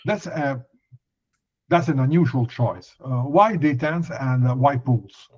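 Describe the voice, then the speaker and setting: A man's voice: medium pitch, slow, a little mellow. Male interviewer on slightly dull audio. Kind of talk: measured interview questioning.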